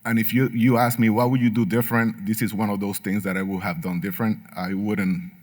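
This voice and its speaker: A man's voice: on the low side, level tone, a little fast. A middle-aged man from Puerto Rico with a Puerto Rican Spanish accent, giving an earnest talk at a medical conference with frequent fillers and light humor. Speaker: patient speaker at a medical symposium.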